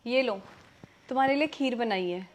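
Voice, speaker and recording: A woman's voice: a little high, moderate pace, slight background noise, natural voice. A young woman with an Indian accent acting a proud, easily stung, outspoken wife in a domestic drama. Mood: eager. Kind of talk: scripted domestic drama acting in Hindi with some English.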